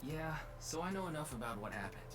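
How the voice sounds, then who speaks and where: Measured police detective over game music. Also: male voice, on the high side, very animated. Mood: matter-of-fact. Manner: measured, matter-of-fact. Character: composed, dutiful male police detective. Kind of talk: English video game voice acting.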